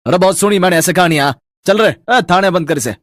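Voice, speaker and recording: A man plays a corrupt, bullying cartoon policeman. He speaks quickly in a raised pitch, brusque and commanding. The recording is very dry. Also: a bit bright, dry recording. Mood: dismissive, impatient. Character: gruff, bullying, corrupt male police officer. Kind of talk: Hindi cartoon story dubbing.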